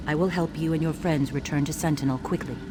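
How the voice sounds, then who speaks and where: Over loud game music comes a solemn ancient desert guardian spirit played by an actor, mid-pitched. The speech is formal and ceremonial, each phrase measured. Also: fairly even intonation, lightly acted. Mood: solemn, commanding. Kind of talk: scripted game dialogue.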